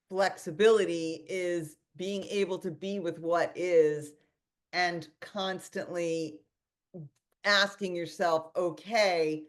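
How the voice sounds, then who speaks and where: A woman with a fairly low voice talks over a video call link. She tells a story with lots of energy, restarting her sentences and acting out quoted asides as she hosts a casual webinar chat. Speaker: host and company founder.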